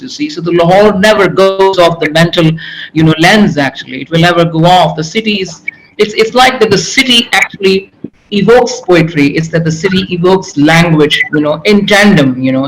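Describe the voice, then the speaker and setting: A middle-aged man with a Pakistani English accent speaks in a somewhat raised pitch, circling his thoughts with stops and repeated words. He leans on "you know" and "you see" as he goes. A faint hiss of ambient noise sits under the voice. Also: low-quality recording. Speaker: poet and English professor, guest. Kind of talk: reflective interview answers.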